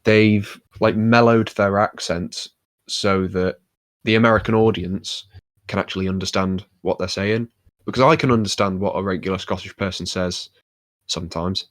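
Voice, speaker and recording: Rambling man with a low voice. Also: fairly even intonation, normal speed, no room sound. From United Kingdom. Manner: rambling, with many fillers and restarts. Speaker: podcast co-host. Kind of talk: casual chat.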